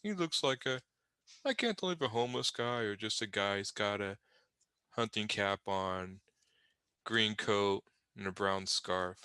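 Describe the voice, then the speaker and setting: A man's voice: on the low side, measured pace, pushed and bright, clean tone, no room sound, clean studio sound. A man narrating comic panels off the cuff, with wry, rambling, conversational asides. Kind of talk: improvised humorous scene description.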